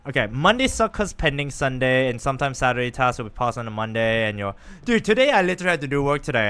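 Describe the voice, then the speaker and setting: A man's voice: medium pitch, pushed and bright, smooth. A man hosting a game livestream, chatting casually and rambling, often repeating his phrases for emphasis.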